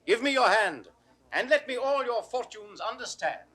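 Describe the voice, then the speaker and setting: A British actor playing a kindly exiled duke in a 1960s audio drama, stately and gracious in classical Shakespearean verse. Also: male voice, very high voice, lively intonation, normal speed, sharp tone, full character voice. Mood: gracious, welcoming. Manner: stately, declamatory. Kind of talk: classical Shakespearean stage acting.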